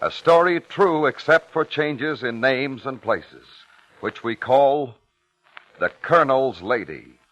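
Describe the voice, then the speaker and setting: A man with an American accent announcing a program in formal, measured, dramatic 1950s radio style. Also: medium pitch, a bit bright, dull audio.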